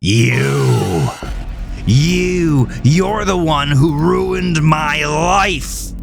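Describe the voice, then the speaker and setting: A man's voice: fairly high pitch, lively intonation, very slow, creaky, faint music behind, voice pushed up, heavily acted. Man acting out an enraged, grieving husband, anguished. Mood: furious. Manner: anguished, accusatory ranting. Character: enraged blood-soaked grieving husband, vengeful and accusing. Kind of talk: horror audio drama acting.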